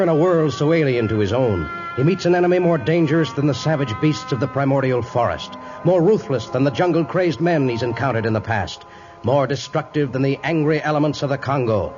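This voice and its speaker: A man's voice: fairly high pitch, animated, a little fast. Guy narrating old radio adventure serial, dramatic and formal, muffled recording, music behind. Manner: formal, theatrical storytelling.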